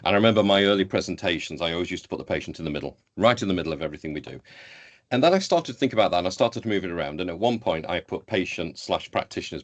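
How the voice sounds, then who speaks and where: A middle-aged man with a Yorkshire accent, answering conversationally as a panel speaker on a webinar and stressing his key words emphatically. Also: low-pitched. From Yorkshire, England. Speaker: healthcare IT professional, panel speaker.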